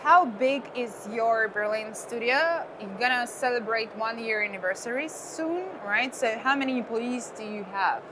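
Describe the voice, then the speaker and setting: A woman's voice: on the high side, expressive, moderate pace, few pauses. A woman with a Russian accent, a native Russian speaker, asking questions as a casual, conversational interviewer. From Saint Petersburg, Russia. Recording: clear background noise, busy venue ambience.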